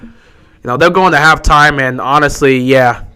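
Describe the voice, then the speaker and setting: A young man with a sore, hoarse voice, giving casual live commentary on a football game he is streaming, resigned and frustrated. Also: medium pitch, normal speed, pushed and bright. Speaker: livestream host.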